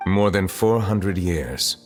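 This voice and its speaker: Casting: grave immortal judge for a game cutscene, low register, formal and restrained, reverberant sound. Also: male voice, animated, slow pace. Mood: somber, reflective. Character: grave, formal, ancient male Chief Justice. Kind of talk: video game cutscene acting. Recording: long reverb, faint music behind.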